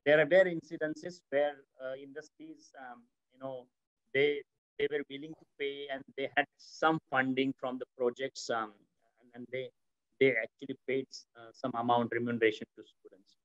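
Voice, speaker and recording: A man's voice: mid-range voice, steady tone, moderate pace, a bit bright. Hesitant middle-aged man on a muffled call. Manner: hesitant, many fillers and restarts. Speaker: academic panelist. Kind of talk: answering questions on a video call. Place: office with city window. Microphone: laptop webcam mic on video call. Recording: muffled video-call audio.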